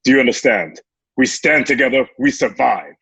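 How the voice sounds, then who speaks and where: A man's voice: mid-range voice, lively intonation, moderate pace, fairly bright tone, breathy edge, no room sound. A man playing a grim, resolute gladiator leader in an amateur radio play, speaking in terse, commanding phrases. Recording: dull lo-fi home recording.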